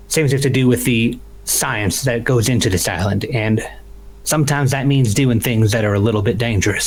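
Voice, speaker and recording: Over a faint noisy hum, a man plays an earnest male adventurer in a tabletop game. The voice sits low and moves haltingly, thinking aloud as it talks itself up. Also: natural voice.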